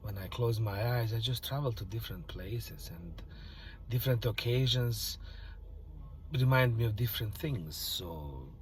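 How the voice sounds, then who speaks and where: A man from Bosnia talking in a casual, reflective vlog, musing softly and often dropping to a near-whisper, from a parked car. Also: on the low side, animated, unhurried, slight background noise. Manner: musing, soft-spoken, drifts into near-whispers. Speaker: vlogger.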